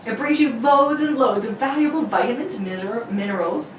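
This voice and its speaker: A woman's voice: a little high, expressive, brisk. A woman hosting and announcing a script with playful, jokey delivery. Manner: playful, giggly asides. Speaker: host and announcer. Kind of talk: jokey introduction and old-radio script reading. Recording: roomy, distant mic with faint noise.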